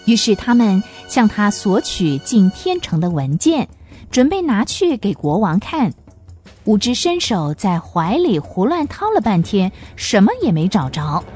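A woman's voice: mid-range voice, sing-song, measured pace, darkish tone, husky, faint music behind. A woman narrating a radio drama as a storyteller, reading from a script.

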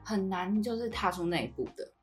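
A woman's voice: medium pitch, lively intonation, brisk, faint music behind. A woman with a Taiwanese Mandarin accent hosting a podcast in a casual, conversational way. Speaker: podcast host.

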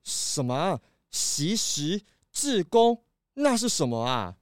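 A woman's voice: deep voice, sing-song, slow pace, no echo, studio quality, heavily acted. A woman voicing a young male lemur, an earnest and principled older brother, in a children's audio drama with a sincere delivery. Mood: puzzled. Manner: sincere, heartfelt.

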